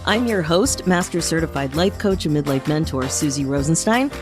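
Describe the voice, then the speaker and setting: Animated midlife woman hosting a podcast. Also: sits low, moderate pace, music in the background. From New England, United States. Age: middle-aged. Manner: effusive, encouraging. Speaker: podcast host and life coach. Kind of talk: upbeat interview podcast hosting.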